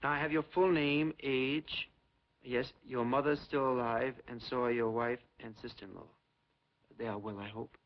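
An actor playing a polite, unctuous secret-police major who feigns sympathy in a 1950s film. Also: male voice, medium pitch, fairly even intonation, moderate pace, natural voice. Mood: mock concern. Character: smooth, falsely courteous Soviet secret-police major interrogating a prisoner. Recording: dull, noisy 1950s film soundtrack.

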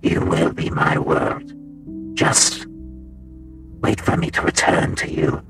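A man voicing a tormented, brooding animatronic in a comic dub, menacing yet devoted, with a breathy growl and an intense, dramatic delivery. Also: level tone, slow, music in the background, full character voice. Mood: anguished, pleading. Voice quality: very deep, gravelly, breathy growl.

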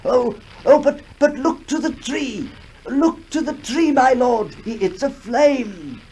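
A man acting a shaken, formal witness recounting horrors haltingly in a 1960s radio horror drama. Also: very high voice, animated, a little mellow, slightly rough, full character voice. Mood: alarmed. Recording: noisy 1960s radio recording.

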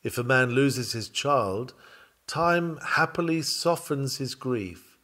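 A man's voice: medium pitch, lively intonation, slow, sharp tone. A man reading a sermon aloud in an earnest, oratorical way, with emphatic, dramatic phrasing. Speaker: reader of a historical sermon.

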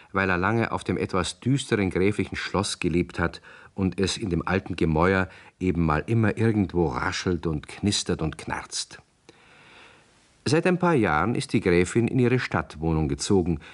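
A male narrator tells a story in 1960s radio play style. His voice is deep and low, and the delivery is scripted storytelling.

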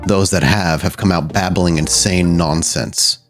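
A man voicing a grave, commanding vampire prince, speaking in a slow, formal, measured way. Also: low-pitched, fairly even intonation. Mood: grim. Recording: music behind the voice.

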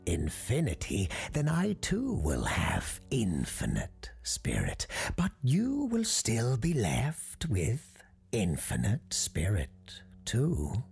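A woman voicing an ancient, solemn talking Christmas tree spirit in a slow, hushed, whispery manner. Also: very deep voice, wildly swooping pitch, creaky, breathy edge, soft background music, heavily acted. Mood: persuasive. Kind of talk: audio drama storytelling.

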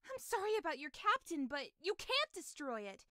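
High, animated young dragon heroine. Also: female voice, moderate pace, dry recording, clean studio sound, heavily acted. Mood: determined. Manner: earnest, emphatic. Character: spirited, determined young dragon girl heroine. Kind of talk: video game cutscene acting. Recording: game music over the voice.

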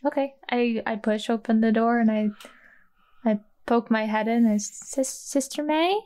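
A woman's voice: a little high, unhurried, darkish tone. A woman playing a tabletop role-playing game, casually describing her character's actions as she thinks aloud. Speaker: player in an actual play podcast. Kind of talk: casual first-person tabletop role-play.

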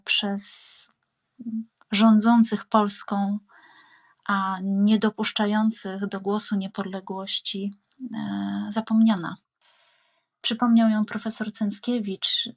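A woman's voice: mid-range voice, dry recording. Woman hosting, dry close sound. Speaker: host of the audio series. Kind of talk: semi-spontaneous programme outro.

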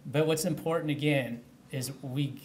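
A man's voice: a little high, steady tone, moderate pace, darkish tone. A man, a county official, earnestly urging the public at a press conference and restarting phrases as he speaks into podium microphones. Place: indoor press room at a podium.

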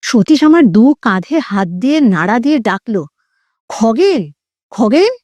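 A woman's voice: fairly high pitch, lively intonation, normal speed, darkish tone, no room sound. A woman narrating a scripted horror story in a theatrical, suspenseful way, drawing out words for effect.

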